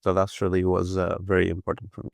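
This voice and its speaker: A man's voice: deep voice, monotone, fast, creaky, dry recording, studio quality. A middle-aged man from Iraq, a guest answering interview questions in a hesitant way, with many fillers and restarts. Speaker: guest, analytics professional.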